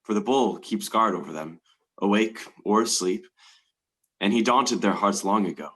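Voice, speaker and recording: Haughty old fantasy tyrant played by a man, low voice, grand and menacing stage delivery. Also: moderate pace, fairly bright tone, natural voice. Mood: gloating, menacing. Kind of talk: dramatic table read of a stage play.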